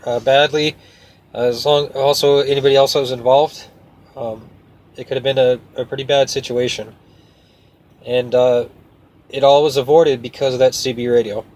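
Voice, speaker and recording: A man's voice: mid-range voice, a little mellow, poor audio. Man, folksy casual storyteller. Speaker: truck driver and vlogger. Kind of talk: casual first-person storytelling to camera.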